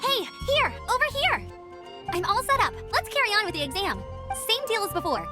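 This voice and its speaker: A woman's voice: very high voice, very animated, normal speed. A woman playing an eager, upbeat young female knight and gliding instructor in an anime-style video game, with a peppy, earnest delivery. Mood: excited. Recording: music behind the voice.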